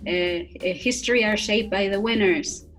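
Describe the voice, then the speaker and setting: A woman hosting an interview in a conversational way, haltingly searching for words as she puts her questions and responses. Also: medium pitch, fairly bright tone, faint music behind. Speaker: interviewer, podcast host.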